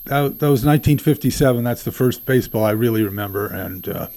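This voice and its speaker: An older man guesting on a radio show as a baseball historian, answering in a measured way with frequent ums. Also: medium pitch, dark tone, dry recording. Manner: measured, many ums and uhs.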